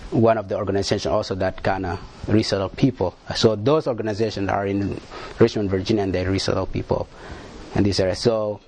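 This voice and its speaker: A man answering questions on a panel in a halting way, often repeating words and restarting. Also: fairly low pitch, steady tone, darkish tone, a little hiss or hum. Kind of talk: panel discussion.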